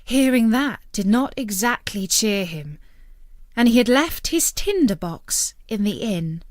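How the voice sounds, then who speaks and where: A woman narrating a fairytale as a storyteller, with an expressive and deliberate storybook delivery. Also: a little high, dry recording, clean studio sound.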